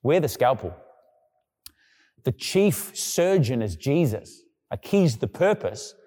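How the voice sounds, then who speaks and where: A male pastor preaching a sermon in a conversational way, now and then acting out quoted people in a lower, quieter voice. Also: very animated, slow pace, long reverb tail.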